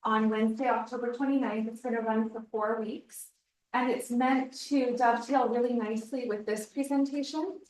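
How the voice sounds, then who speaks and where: A woman social worker announces an upcoming program, stopping often on ums. Her slightly high voice sounds roomy and far from the mic. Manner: hesitant, many ums. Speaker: social worker, care manager. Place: seminar room.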